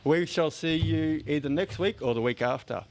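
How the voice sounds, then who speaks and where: Gravelly-edged Australian man hosting a podcast. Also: on the high side, normal speed. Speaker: podcast host. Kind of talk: casual chat.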